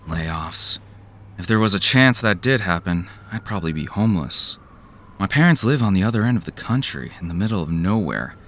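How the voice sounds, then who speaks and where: A man's voice: deep voice, fairly bright tone, a little hiss or hum. A man playing a jaded, cynical office worker who narrates an audio drama in a weary, sardonic, profane way. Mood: uneasy.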